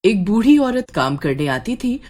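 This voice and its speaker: A woman tells a scripted horror story as its narrator. Her voice sits at a medium pitch and moves with lively, expressive intonation. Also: a little slow.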